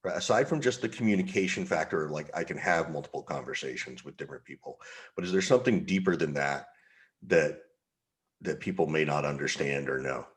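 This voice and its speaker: A man's voice: very deep voice, a little fast. A man hosting a podcast interview, asking curious follow-up questions. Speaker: podcast host. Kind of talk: casual interview.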